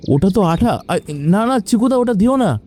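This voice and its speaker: A man's voice: sits high, expressive, moderate pace, dark tone. A man voicing an anxious, cautious young helper in a cartoon, worried and pleading. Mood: alarmed. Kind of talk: cartoon story voice acting.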